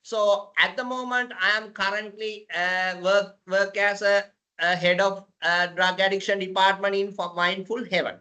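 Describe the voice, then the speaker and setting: A woman with a Sri Lankan accent hosting a podcast, speaking in a halting way and often repeating her phrases. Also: medium pitch, flat delivery, sharp tone. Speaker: podcast host. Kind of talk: unscripted podcast introduction and interviewing.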